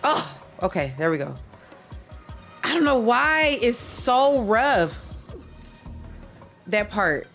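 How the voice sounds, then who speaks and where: A woman with a stuffy nose chatting casually with her viewers while she warms up at a game, rambling and repeating herself. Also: fairly high pitch, big pitch swings, slow pace, pauses often, faint music behind. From Michigan. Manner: rambling, repeats herself, chats with stream chat. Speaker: livestreamer playing a speedrun practice.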